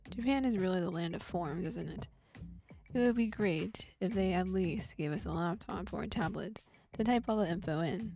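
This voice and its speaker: Female streamer, gravelly timbre, reads game text aloud with lively reactions; faint music bed. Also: lowish voice, moderate pace. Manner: animated reactions, gasps and laughs between read passages. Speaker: streamer reading a visual novel aloud. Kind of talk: game playthrough, reading text plus reactions.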